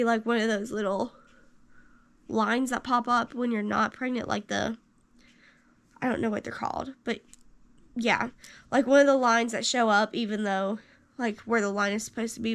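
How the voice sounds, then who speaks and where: A young woman in her twenties telling a personal story to camera, animated, rambling with fillers and jumping up in pitch for quoted lines. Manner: rambling with many fillers and restarts. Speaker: vlogger.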